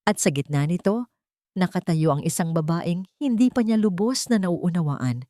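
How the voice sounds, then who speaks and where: A Filipino woman narrates a dramatic love story in scripted style. Her voice sits fairly low, and the recording is dry and close, with very little room sound. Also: lively intonation, normal speed, warm tone, dry recording.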